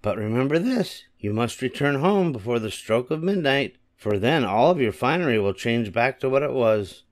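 A man voicing a plump, kindly little fairy godmother who gives gently bossy instructions in a storybook. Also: mid-range voice, expressive, normal speed, few pauses, voice pushed up, lightly acted. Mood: gentle warning. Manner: kind, instructing. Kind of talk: storybook character voice.

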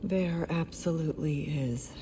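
A woman playing a firm, composed warrior mother goddess in a game cutscene, speaking in a measured way. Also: low-pitched, dark tone. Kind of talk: dramatic game cutscene acting. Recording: clear ambient noise behind.

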